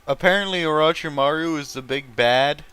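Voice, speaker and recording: A man's voice: a little high, normal speed, bright, forceful tone, smooth, no echo. A man casually reading fan fiction aloud and mocking it with sarcastic, sweary, amused commentary.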